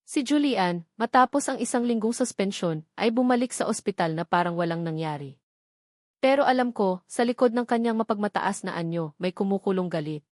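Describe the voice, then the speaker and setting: A woman's voice: mid-range voice, a little mellow, dry recording, clean studio sound. A woman narrating a first-person romantic drama in an earnest, emotional storytelling manner.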